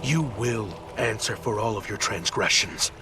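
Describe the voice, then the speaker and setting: Slow, stern soldier captain played by a man. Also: fairly low pitch, close to an everyday voice. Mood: threatening. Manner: formal, stiff, deliberate. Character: grim, stern soldier captain, haunted righteous male hero. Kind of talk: dramatic video game dialogue. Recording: loud music under the voice.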